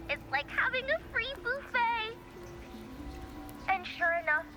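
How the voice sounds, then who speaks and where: A woman's voice: very high-pitched, bright, forceful tone, rough recording. A woman voicing a chatty, analytical young female tech ally in a video game.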